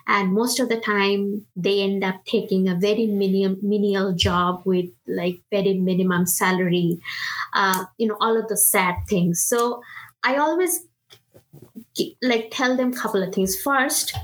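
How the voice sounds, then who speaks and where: Indian woman mentoring with earnest warmth. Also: mid-range voice, steady tone, unhurried, poor audio. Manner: earnest, encouraging, many fillers and restarts. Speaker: product manager and mentor. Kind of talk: casual interview talk.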